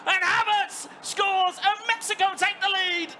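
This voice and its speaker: A man calling live esports football play by play, rapid and rising to shouts at big moments. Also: very high-pitched, steady tone, a loud crowd, heavy background noise. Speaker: play-by-play commentator.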